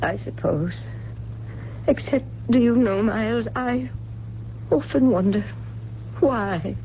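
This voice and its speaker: A woman's voice: a little high, takes it slowly. An actress playing a wealthy, forgetful, grief-haunted widowed mother in a radio mystery drama, with a genteel, drifting manner. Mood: grieving. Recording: dull, hissy 1970s radio recording.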